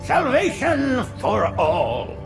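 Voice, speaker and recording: A man voicing an aged, sanctimonious alien high priest in a video game, lofty and pompous, drawing out his preaching. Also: huge pitch swings, very slow, vocal fry, over loud music, full character voice. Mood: pious grandeur. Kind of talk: cinematic video game voice acting.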